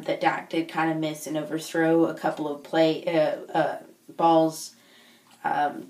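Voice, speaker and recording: Woman w/ low worn-out sick voice, rambling vlog chat, kinda hesitant, echoey room far from mic. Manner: rambling, hesitant, searching for words. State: recovering lost voice after being sick. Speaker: video blogger, football fan. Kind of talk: casual unscripted vlog chat. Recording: echoey room, distant microphone.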